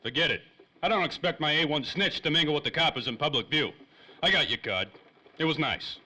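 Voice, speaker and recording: Guy doing a tough old-time radio gumshoe, really sharp forceful voice, talks fast, slangy and menacing. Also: a little high, animated, exaggerated voice, rough recording. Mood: gruff, weary. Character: tough, menacing hard-boiled former private detective. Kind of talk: 1930s old-time radio crime drama acting.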